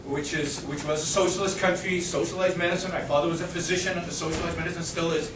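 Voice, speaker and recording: A man's voice: on the high side, steady tone, fast talker. A middle-aged man from Israel, a guest speaker answering audience questions in an emphatic, argumentative way with frequent restarts. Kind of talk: impassioned lecture Q&A. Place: university lecture hall. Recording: echoey noisy hall from far off.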